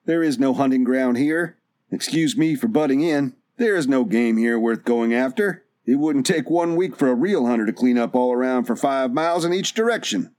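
A man voicing a calm, courteous stranger in an audiobook. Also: medium pitch, moderate pace, no echo, lightly acted. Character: calm, courteous male stranger, mysterious drifter.

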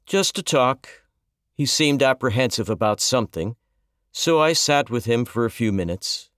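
A man voicing a calm, gentle middle-aged reverend who speaks softly and consolingly. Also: mid-range voice, no room sound, clean studio sound, natural voice. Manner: soft-spoken, measured, consoling.

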